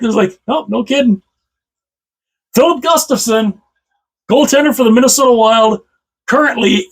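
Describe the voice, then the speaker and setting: A man with a slightly hoarse voice, chatting casually about hockey as a channel host, rambling and repeating himself with enthusiasm. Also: very high-pitched, poor audio. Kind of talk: casual solo commentary to camera.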